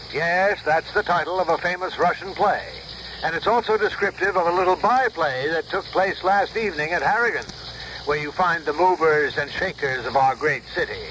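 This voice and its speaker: Radio drama gossip columnist, male, arch and wordy, very high-pitched, faint noise on old broadcast. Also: a bit bright, poor audio. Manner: arch, wordplay-loving.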